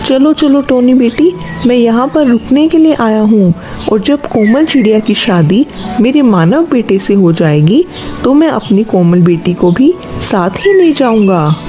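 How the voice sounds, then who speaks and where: A genial older uncle bird from a cartoon moral story, acted out by a woman. The tone is dark and soft-edged, pitched slightly high, with a familiar, purposeful, avuncular way of talking. Light music sits behind. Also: expressive, unhurried.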